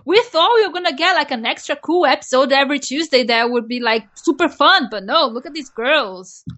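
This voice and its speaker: Higher-voiced woman chatting expressively. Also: lively intonation, brisk, fairly bright tone. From Brazil. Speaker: podcast co-host. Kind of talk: casual conversational chat.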